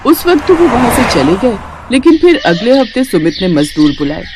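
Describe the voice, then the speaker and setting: A woman's voice: fairly high pitch, big pitch swings, normal speed, background noise. A woman narrating a scripted moral story as a storyteller.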